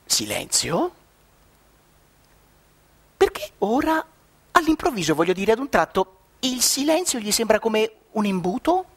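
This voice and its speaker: An actress playing a sardonic storyteller who slips into a baffled painter, exclamatory and mock-incredulous, in a satirical radio play. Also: female voice, a little high, very animated, a little slow, long pauses, slightly rough, exaggerated voice. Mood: baffled exasperation.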